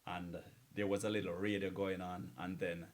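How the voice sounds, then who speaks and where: A man's voice: sits low, little pitch movement, normal speed. Earnest male DJ repeating words for emphasis. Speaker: radio DJ. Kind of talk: casual talk between songs.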